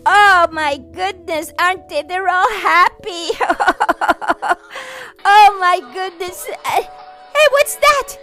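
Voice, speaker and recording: A woman voicing an excitable, cheerful mother who gushes and squeals with delight. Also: extremely high pitch, slow pace, faint music behind, voice pushed up, full character voice. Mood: ecstatic. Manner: gushing, exclamatory, squealing with delight. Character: excitable cheerful middle-aged mother, Mrs. Singalot.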